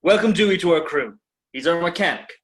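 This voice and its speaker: A man playing a brash, cocky spaceship captain in a sci-fi audio drama, barking brisk orders. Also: a little high, lively intonation, fairly bright tone. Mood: commanding, cocky.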